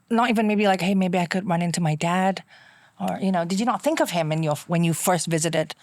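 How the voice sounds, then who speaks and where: A woman's voice: on the low side, a little fast, a little mellow, no room sound. A woman asking probing, empathetic questions in a casual, tentative way as an interviewer.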